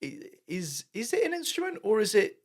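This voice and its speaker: A man's voice: sits high, huge pitch swings, moderate pace. A young British man hosting a reaction video, thinking aloud in casual commentary with lots of fillers and restarts. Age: young adult. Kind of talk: casual commentary over music. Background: music playing faintly.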